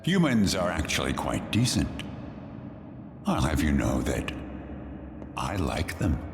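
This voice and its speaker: An actor playing a grandiose philosophical man in a theatrical game pantomime, speaking in measured declamation. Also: a bass voice, big pitch swings, noisy background, full character voice. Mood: musing, assured. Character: grandiose, philosophical male stage-pantomime figure defending humanity. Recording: echoey hall, long reverb, music behind. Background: music.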